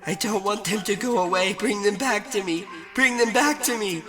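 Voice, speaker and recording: A man's voice: sits high, moderate pace, voice pushed up, natural voice. A man voicing a horde of anguished ghost children in a horror story, screaming and pleading over music. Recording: music behind the voice.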